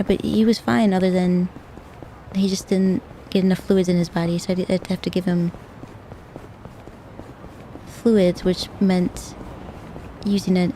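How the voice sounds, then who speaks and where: A young woman streaming a game, chatting softly and casually with viewers, often repeating words as she goes. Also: lowish voice, a little hiss or hum, low-quality recording. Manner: soft-spoken, halting, repeats words. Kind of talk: casual gaming stream chat.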